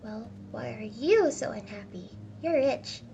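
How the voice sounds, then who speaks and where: A woman voicing a warm, kind, cheerful nephew in a storybook reading, earnest and pleading. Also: fairly high pitch, expressive, unhurried, vocal fry, soft background music. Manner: earnest, pleading, bright-spirited.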